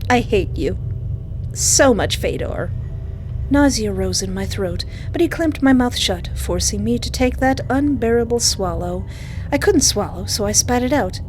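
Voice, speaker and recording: A woman reading a visual novel aloud, theatrical and expressive, with casual asides. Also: a little high, normal speed, soft background music, rough recording. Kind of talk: dramatic narration with casual asides.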